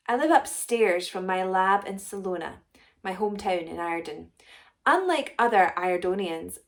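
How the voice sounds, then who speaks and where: A woman voicing a short, rich, excitable female alien potion maker in a children's story, self-important and exclamatory. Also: on the low side. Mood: boastful. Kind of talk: first-person children's story narration.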